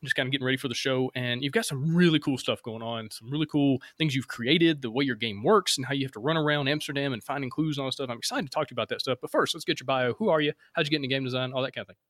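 A Southern American man in his thirties hosts a loose, rambling chat, talking fast with a bright, forward tone. He laughs easily and circles back on his words. His voice sits dry and close to the microphone. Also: medium pitch, no echo. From Alabama. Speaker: podcast host. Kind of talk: casual interview chat.

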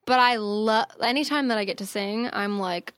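A woman's voice: mid-range voice, normal speed, fairly bright tone, no echo. A young woman talking casually as a podcast guest, chatty, with lots of fillers and laughs. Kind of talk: casual conversation.

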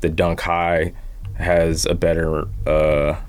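A man's voice: sits low, completely flat delivery, slow pace. A man speaking as a podcast guest in a laid-back, informal, slangy way. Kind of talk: casual podcast chat about sneakers.

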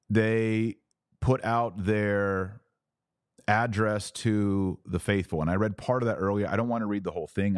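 A man's voice: low-pitched, darkish tone, no echo, studio quality. A man hosting a religious commentary podcast, explaining things emphatically and didactically, often posing questions and answering them himself. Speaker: podcast host.

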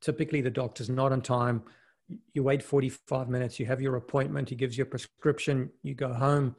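Middle-aged guy from south africa, chatting easy in an interview, lots of "you know" and restarts, mid-pitched voice. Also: level tone, brisk, a little mellow. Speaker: guest, investment fund manager and mountaineer. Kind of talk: casual interview conversation.

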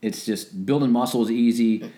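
A man in his late forties co-hosting a health podcast, relaxed and joking with wry asides. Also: medium pitch, moderate pace. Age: 40s. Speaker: podcast co-host. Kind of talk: casual health podcast chat.

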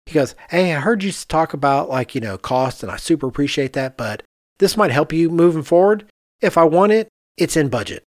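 A man, an architect hosting a podcast, chatting casually and telling animated anecdotes in which he quotes other people. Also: a little high, fairly quick, dry recording, studio quality.

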